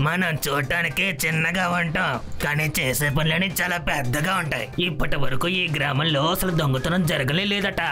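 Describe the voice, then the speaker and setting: High-voiced scheming cartoon gang boss. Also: male voice, pushed and bright. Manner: commanding, boastful. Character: scheming boastful leader of tiny thieves. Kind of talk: cartoon dub acting.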